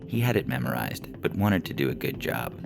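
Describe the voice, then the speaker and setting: A man with an extremely deep voice narrates comic fiction. His delivery is deadpan and scripted, heavy with gravelly creak. Music plays clearly underneath. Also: a little fast. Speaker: story narrator.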